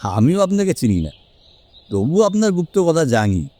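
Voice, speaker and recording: A self-assured detective, played by a man, speaks in a fairly high, expressive voice. He is probing and deliberate, commanding the scene as in a radio mystery play. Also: no echo. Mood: calm, ominous. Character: Hemanta, shrewd, self-assured male detective. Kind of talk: Bengali audio drama acting.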